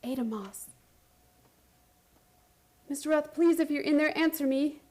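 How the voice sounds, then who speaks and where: A high-pitched actress playing a woman patient in a radio play, haunted and obsessed. She recounts her strange story with theatrical intensity and an urgent, frantic edge. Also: unhurried, a little mellow. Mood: pleading, urgent. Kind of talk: radio play acting, first-person dramatic narration.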